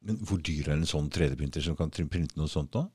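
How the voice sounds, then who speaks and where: Host: man, extremely deep voice, dry close sound, brief questions. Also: fairly quick, darkish tone, slightly rough, no echo. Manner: short questions between murmured agreement. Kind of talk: casual interviewing.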